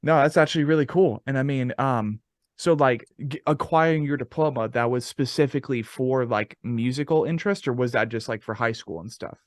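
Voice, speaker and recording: A man's voice: medium pitch, fairly quick, no room sound, clean studio sound. A man, hosting a casual interview in an effusive, slangy way and swearing freely. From the West. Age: 20s. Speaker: podcast host.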